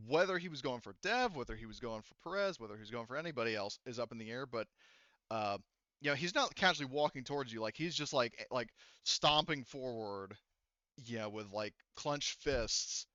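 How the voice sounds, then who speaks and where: A man improvising casual tabletop game narration as game master, in a halting way. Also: medium pitch, animated, pushed and bright, no echo, muffled recording.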